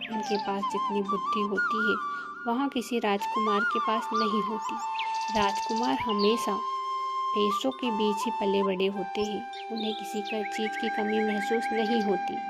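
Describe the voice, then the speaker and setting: A woman's voice: on the high side, huge pitch swings, measured pace, full character voice. A woman voicing a clever, principled young princess in a cartoon moral story, formal and firm as she reasons. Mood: firm advising. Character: clever, principled young princess advising her royal father. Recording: loud music behind the voice.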